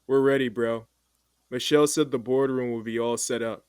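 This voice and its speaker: A man's voice: medium pitch, fairly even intonation, normal speed, a little mellow, clean tone, no echo, close to an everyday voice. Actor as brash, slangy male executive, dry recording. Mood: excited, teasing. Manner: casual, slangy. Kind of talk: audio drama acting.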